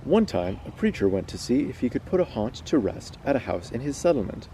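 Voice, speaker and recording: A man's voice: mid-range voice, very animated, fairly quick, warm tone, a little hiss or hum, rough recording. A man hosting a casual read-aloud, moving between storytelling and chat. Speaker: host reading stories aloud.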